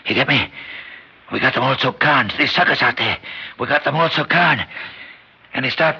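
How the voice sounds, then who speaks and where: An actor playing a dying, bedridden old gangster who threatens and schemes in tough street slang, in a 1950s radio drama. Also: male voice, mid-range voice, normal speed, vocal fry, slightly rough, full character voice. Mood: scheming. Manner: threatening, mocking, swings from whisper to shout. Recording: dull old radio broadcast recording.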